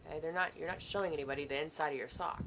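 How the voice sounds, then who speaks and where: A woman teacher walks students through sewing a seam while she works at a table under an overhead camera. Her voice is bright and sits a little low, and her manner stays patient and reassuring. A faint hiss runs under the recording. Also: very fast. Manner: patient, reassuring, talks while working hands-on. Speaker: art teacher. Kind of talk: step-by-step sewing demonstration.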